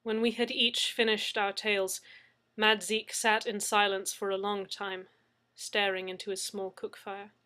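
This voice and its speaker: A woman reading a serialized story aloud in a measured way. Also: medium pitch, little pitch movement, bright, forceful tone. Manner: measured narration. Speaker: reader.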